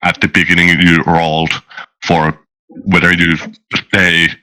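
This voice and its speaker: Low, bright-voiced man telling stories. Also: level tone, a little slow, poor audio. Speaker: game master guest on a horror podcast. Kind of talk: casual anecdote-telling.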